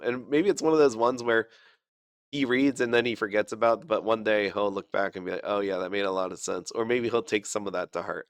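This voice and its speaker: A young man with a lowish voice talks loosely over a game, full of fillers, restarts and self-deprecating asides. He's sick and keeps holding back a cough. The sound is dry and close. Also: clear, resonant tone, dry recording. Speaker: gaming channel host. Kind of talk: casual gameplay commentary.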